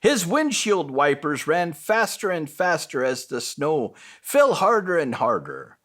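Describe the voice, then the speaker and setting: A man tells a children's bedtime story in an expressive reading style. His voice sits a little high, swings widely in pitch and moves at a slow, measured pace.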